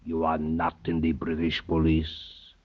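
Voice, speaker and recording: Grim, very deep, husky old professor. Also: male voice, completely flat delivery. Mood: menacing. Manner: grave, deliberate, portentous questioning. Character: grim, aged anarchist professor, secretly a policeman. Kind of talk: 1930s radio acting. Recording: dull, muffled old 1930s radio recording.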